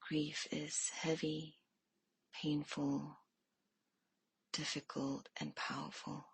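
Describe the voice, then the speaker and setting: A woman leading a guided meditation in a soft, hushed way, leaving pauses between phrases. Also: sits low, level tone, gravelly, husky, no room sound.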